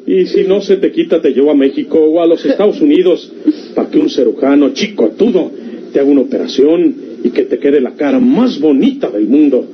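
An actor playing a rough, passionate outlaw lover in a Mexican radionovela, with a rural northern Mexican accent and an emphatic, insistent delivery. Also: male voice, a little high, expressive, fairly quick, natural voice. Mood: ardent, tender. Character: rough, impassioned outlaw man, possessive and tender lover. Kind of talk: golden-age Mexican radionovela acting. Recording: muffled vintage radio recording with hiss.

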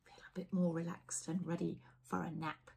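A woman's voice: lowish voice, little pitch movement, normal speed, vocal fry, a little mellow. A woman in her forties reading a children's storybook aloud, playfully engaging listeners with questions. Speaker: children's author reading her own book. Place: small indoor room lined with bookshelves.

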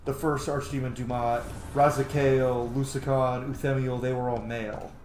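A man's voice: mid-range voice, level tone, moderate pace, darkish tone. Echoey man doing casual gaming commentary. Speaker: gaming video host. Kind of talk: casual let's play commentary. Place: small room indoors at home. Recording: roomy echo, faint background noise.

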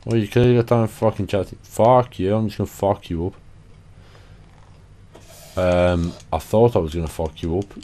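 Tired, hoarse young gamer. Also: male voice, lowish voice, fairly even intonation, moderate pace, pauses often, mellow. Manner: sweary, chatty, self-deprecating. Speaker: gaming channel host. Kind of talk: casual gameplay commentary.

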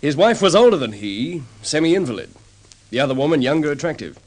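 An actor playing a calm, methodical detective sergeant laying out a case in an old radio drama. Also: male voice, a little high, poor audio. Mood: measured. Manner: measured explaining. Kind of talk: vintage radio drama acting.

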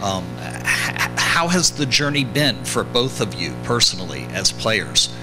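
A man's voice: on the low side, very animated, measured pace, over music, rough recording. A man reporter asking questions at a press conference in a conversational way.

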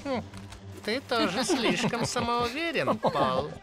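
Mocking cartoon bad guy, super high and all over the place, music behind. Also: sing-song, slow, full character voice. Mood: smug, mocking. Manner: mocking, theatrical. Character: smug vengeful male villain, taunting former friend. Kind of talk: dubbed cartoon acting.

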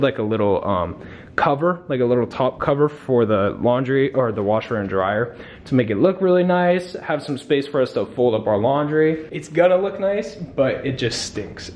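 A man's voice: mid-range voice, darkish tone, a little hiss or hum. A young man in his twenties cheerfully showing viewers an old garage he plans to fix up, speaking to a handheld camera. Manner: upbeat, plans projects aloud, addresses viewers. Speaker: vlogger. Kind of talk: casual house tour vlog. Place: empty garage and backyard of a house.

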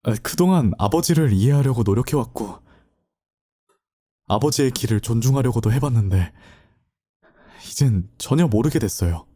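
A dutiful young male student acted by a man in an audio drama. His voice is mid-pitched and dark-soft, with polite, slightly hesitant phrasing that sounds burdened yet resolute. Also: lively intonation, moderate pace, long pauses, close to an everyday voice. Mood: sorrowful, restrained. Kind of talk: Korean audio drama acting.

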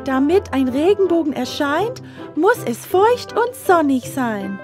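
A woman's voice: high voice, sing-song, measured pace. A woman voicing a friendly, eager girlish talking encyclopedia in a children's educational cartoon. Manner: eager, didactic. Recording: music behind the voice.

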